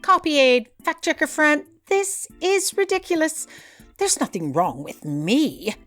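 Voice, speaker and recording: A woman's voice: high-pitched, big pitch swings, unhurried, a bit bright, faint music behind, full character voice. A woman playing a haughty, forgetful editor-in-chief in a comedic audio drama, speaking formally and imperiously. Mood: indignant. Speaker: voice actor.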